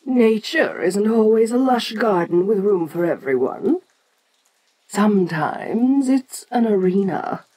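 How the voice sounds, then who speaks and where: A woman playing an ancient, imperious kaiju giantess in an audio roleplay, speaking formally and with deliberate, musing condescension. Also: medium pitch, animated, warm tone, rough recording. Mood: suspicious, stern. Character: imperious ancient female kaiju giantess, haughty, curious, domineering. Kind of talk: audio roleplay addressed to the listener.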